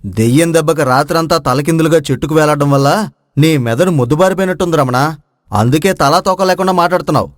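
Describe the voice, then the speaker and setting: Casting: man as mocking, evasive village friend for a storybook tale, rapid delivery, slightly high voice. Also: no room sound, clean studio sound, lightly acted. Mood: mocking denial. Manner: denying, mocking. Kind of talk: acted moral-story dialogue.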